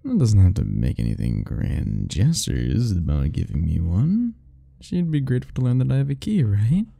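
Man acting a very mellow, husky, obsessive lover. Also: on the low side, huge pitch swings, dry recording, studio quality, exaggerated voice. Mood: conflicted, yearning. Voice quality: soft, husky, breathy. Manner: slow, intimate murmuring, coaxing and self-talking. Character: soft-spoken obsessive yandere man, doting and possessive, young. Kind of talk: ASMR romance roleplay acting.

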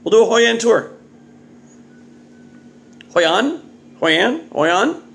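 Animated, high-voiced man reviewing on camera. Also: takes it slowly, creaky. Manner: animated, exclamatory, jokey delivery with muttered asides. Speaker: beer reviewer and vlog host. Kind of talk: casual solo video review, off the cuff. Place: home kitchen indoors. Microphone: phone or webcam at arm's length.

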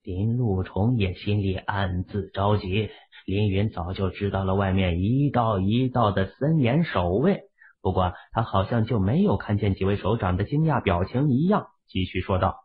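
A man's voice: fairly low pitch, normal speed, slightly rough. A man narrating a novel from a script in a dramatic storytelling style, with narrow, muffled sound. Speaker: audiobook narrator.

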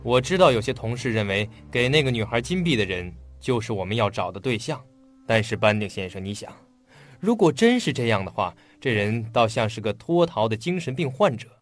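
An actor playing an earnest, polite young police detective in a radio drama. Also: male voice, medium pitch, big pitch swings, fairly quick, natural voice. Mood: grave, speculative.

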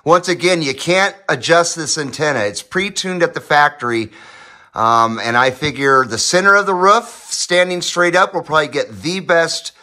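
A man's voice: on the high side, normal speed, sharp tone. An American man narrating a casual hobby project vlog in a folksy, informal way. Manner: folksy, lightly swearing, informal. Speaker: host of a hobby radio channel. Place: home garage, indoors.

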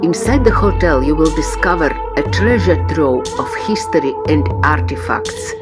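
A woman reading a scripted tour narration in a smooth, even voice-over, with loud music behind her. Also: low voice, normal speed.

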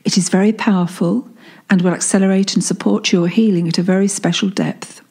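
A woman guiding a meditation in a calm, soothing way, leaving long pauses between phrases. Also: medium pitch, slightly rough. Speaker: guided meditation instructor and life coach. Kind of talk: guided meditation narration. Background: soft healing-frequency sound.

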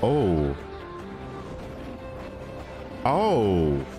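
A young man comments casually on what he is watching, close on the mic. His voice sits fairly low but moves around a lot, with slangy, think-aloud phrasing. Cartoon intro music plays clearly behind him. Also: drawn-out words. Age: young adult. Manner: animated, slangy, musing aloud. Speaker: reaction video host. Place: small indoor room at a desk. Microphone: close microphone on arm, headphones on. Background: music from the cartoon intros being watched.

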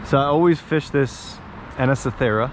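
A man's voice: medium pitch, moderate pace, a little hiss or hum. A young man fishing outdoors, chatting playfully and teasing his friend with questions. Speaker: fishing vlogger. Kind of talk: casual outdoor chat. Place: outdoors by a creek.

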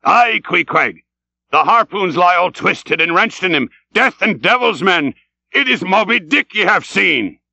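A man's voice: high-pitched, lively intonation, measured pace, gravelly, sharp tone, no echo, voice pushed up, full character voice. A man voicing an old, obsessed whaling captain in an audiobook, fierce and commanding, with vehement, archaic, declamatory speech. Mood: fierce excitement.

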